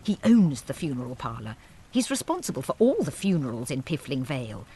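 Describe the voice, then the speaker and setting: A man's voice: medium pitch, big pitch swings, fairly quick, mellow, husky, low-quality recording. A man playing a wry, confiding narrator of a village chronicle in an audio drama, drily apologetic.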